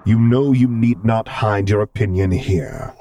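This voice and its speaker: A man voicing a grave, anxious masked cyborg father who speaks in formal, deliberate, protective phrases. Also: mid-range voice, darkish tone, a little hiss or hum, pitched above the performer's own voice, lightly acted. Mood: gently chiding.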